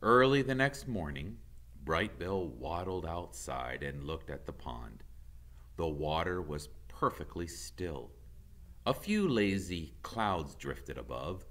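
A middle-aged man with an American accent reads a children's story. His delivery is slow and very lively in pitch, with a bright, clear edge. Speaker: teacher reading aloud. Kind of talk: expressive children's storybook narration. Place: classroom with whiteboard, indoors.

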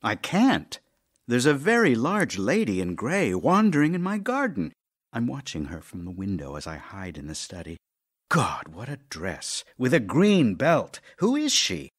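An actor playing a witty, weary, affectionate middle-aged playwright in an audio drama of love letters, with a dry, teasing, melancholy and theatrical manner. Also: male voice, medium pitch, wildly swooping pitch, unhurried, breathy edge, heavily acted. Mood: harried, exasperated.

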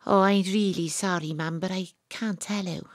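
Excitable young Welsh female sleuth played by a woman, audio drama, breathless suspense, close dry studio sound. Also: on the low side, moderate pace, no room sound, lightly acted. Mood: agitated, earnest. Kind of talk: audio drama narration and dialogue.

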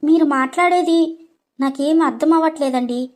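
Innocent young wife, woman voice actor, very high pitch, gentle, hurt, pleading, story dialogue. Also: moderate pace, lightly acted. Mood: bewildered, innocent. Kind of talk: dramatized story narration.

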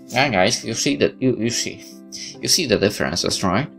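A man's voice: medium pitch, animated, moderate pace, gravelly, a bit bright. A man hosting a gaming video, reading game text aloud and adding casual comments. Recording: faint game music behind the voice.

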